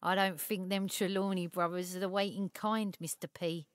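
An actress playing a shrewd assistant at a secretive tailor's shop in an audio story, talking in a blunt, wry, colloquial way. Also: female voice, fairly low pitch, fairly even intonation, moderate pace, no echo, studio quality, lightly acted. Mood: darkly wry. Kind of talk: dramatised audio short story acting.

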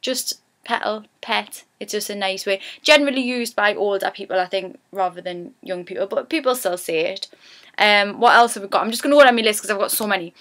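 A bright-toned woman speaking with a Geordie accent. She is chatty and rambling, and often corrects herself mid-sentence. She talks casually, like someone explaining her local words to viewers. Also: medium pitch, fairly quick. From Newcastle, England. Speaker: vlogger teaching dialect. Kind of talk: casual dialect lesson.

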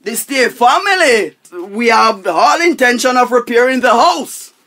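Man acting a high-pitched, blustering Jamaican householder. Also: animated, a little slow, sharp tone, heavily acted. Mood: self-important. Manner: emphatic, declamatory, repetitive scolding. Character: blustering, tight-fisted Jamaican householder, indignant and pompous. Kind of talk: radio family comedy-drama acting.

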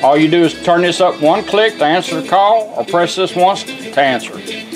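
Higher-voiced folksy man over guitar music. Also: lively intonation, normal speed. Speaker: vintage car radio restorer demonstrating. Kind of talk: casual product demonstration. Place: indoor workshop bench.

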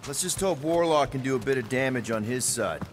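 A man's voice: medium pitch, animated, fairly quick, background noise, close to an everyday voice. An actor playing a casual, joking male space captain.

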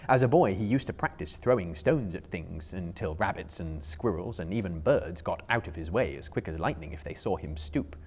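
Theatrical male storyteller with lively intonation. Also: on the low side. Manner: theatrical, emphatic, carefully phrased. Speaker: storyteller performing a dramatic reading. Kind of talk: dramatic storybook narration. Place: indoor bookstore room lined with shelves.

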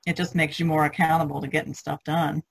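A woman's voice: low-pitched, flat delivery, quick pace, creaky, a little hiss or hum. An older woman chatting casually as a participant in an artists' group on an online call. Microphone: online video conference call.

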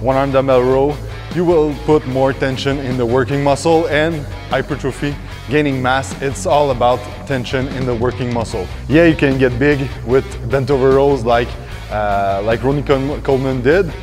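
A man in his thirties coaches a workout from the floor of a large indoor gym, explaining each exercise step by step. He talks at a mid pitch, and his explanations are full of fillers and restarts. Faint music plays behind him, picked up on a lapel mic. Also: fairly even intonation, moderate pace. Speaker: fitness coach.

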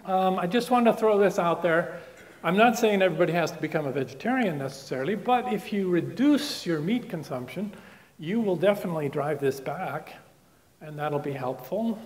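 A man's voice: high voice, expressive, normal speed. A man from the Netherlands giving a chatty, joking public science talk in a lecture room, with restarts and asides. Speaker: guest lecturer. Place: lecture room with projected slides.

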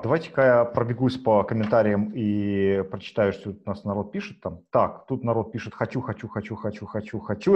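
A man in his thirties hosting a live video interview, reading out viewer comments with brisk fillers between questions. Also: fairly low pitch, a little mellow, breathy edge. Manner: brisk, filler-heavy moderating. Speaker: interview host. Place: home room, plain wall. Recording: dull lo-fi video call audio.

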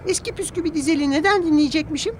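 A man's voice: extremely high pitch, very fast, slight background noise, voice pushed up. A man voicing a huffy young female steam engine in a children's cartoon story. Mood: indignant.

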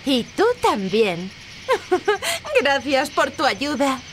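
A cheerful young mermaid heroine, grateful and lively, in a game dub. Her voice is high and very melodic, with faint noise behind it. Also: extremely slow, exaggerated voice. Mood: joyful, grateful. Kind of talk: animated video game dub.

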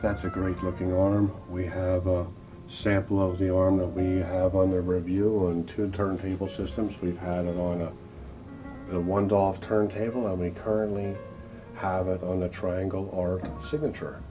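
Low-voiced man hosting a show-floor interview. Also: level tone, normal speed, music in the background, rough recording. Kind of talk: casual on-camera trade show interview. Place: hotel room at an audio show.

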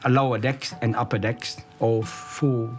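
A middle-aged man from Vietnam recalling his escape in a documentary interview, speaking in short, halting sentences. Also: mid-range voice, fairly even intonation, soft background music. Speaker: interviewee, refugee. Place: office room, indoors.

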